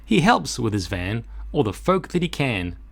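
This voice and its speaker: A man reading a rhyming children's story aloud in an expressive, rhythmic, dramatic way. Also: mid-range voice, normal speed, gravelly.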